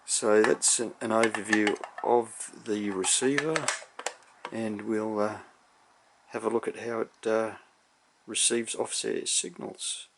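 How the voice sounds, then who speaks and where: Guy with lowish gravelly voice walking thru electronics stuff, kinda hesitant and measured, off the cuff. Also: steady tone, slight background noise, low-quality recording. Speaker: electronics hobbyist presenter. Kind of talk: unscripted technical demonstration.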